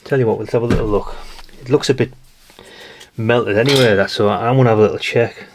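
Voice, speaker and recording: A British man with a gravelly voice giving a casual, rambling hands-on commentary as he thinks aloud. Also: medium pitch, fairly even intonation, quick pace, background noise. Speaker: hobbyist radio channel host.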